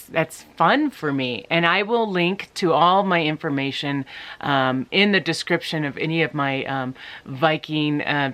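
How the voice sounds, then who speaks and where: Bright, clear-voiced woman rambling casually. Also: deep voice. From United States. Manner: rambling, many ums and restarts. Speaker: vlogger. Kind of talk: casual talk to camera.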